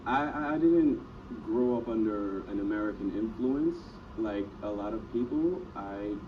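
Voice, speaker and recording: A reflective man speaks in a fairly low voice, stumbling over his words here and there. He sounds distant from the mic, dull and noisy. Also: expressive, measured pace. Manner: reflective, with repeated stammers. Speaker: interviewee. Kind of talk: documentary interview.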